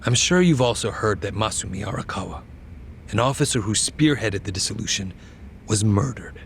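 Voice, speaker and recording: Smug, low-voiced young politician. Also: male voice, lively intonation, normal speed, creaky, a little hiss or hum. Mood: assured, persuasive. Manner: composed, smug, articulate. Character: polished calculating politician, a young male governor. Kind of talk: televised interview in a game cutscene.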